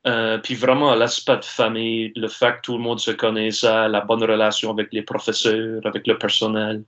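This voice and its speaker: A man in his forties with an Acadian French accent, answering interview questions earnestly, with many filler pauses. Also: on the low side, completely flat delivery, fairly bright tone. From Baie-Sainte-Marie, Nova Scotia. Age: 40s. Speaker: guest, university sports director. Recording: dull lo-fi sound.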